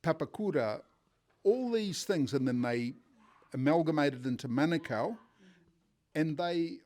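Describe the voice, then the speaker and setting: A man's voice: a little high, lively intonation, unhurried, a little mellow. An older man from South Auckland with a New Zealand accent, reminiscing in a rambling, conversational way at a public forum.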